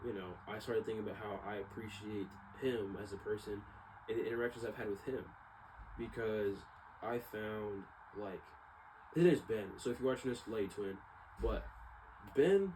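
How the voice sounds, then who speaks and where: Low-voiced young guy, noisy background. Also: normal speed. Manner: informal slangy talk, circling back and trailing off. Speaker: vlogger. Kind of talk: casual rambling personal monologue. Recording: clearly noisy background ambience.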